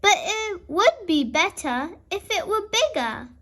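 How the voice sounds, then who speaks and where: Storybook little piglet girl, performed by a woman: very high, bubbly, excitable, melodic. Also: takes it slowly, full character voice. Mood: cheerful. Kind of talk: children's storybook character voice.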